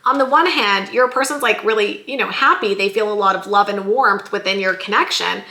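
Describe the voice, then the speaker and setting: A woman giving a weekly tarot love reading in a chatty, lively way, her voice going a little hoarse. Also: medium pitch, a little fast, roomy sound. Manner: chatty, lively, says "like" and "you guys" often. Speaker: tarot reader.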